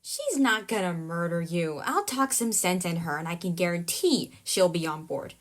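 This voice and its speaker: A woman's voice: fairly low pitch, lively intonation, moderate pace, fairly bright tone. A woman voicing a perky, smug, encouraging matchmaker in an audio drama, coaxing and breezy. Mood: reassuring.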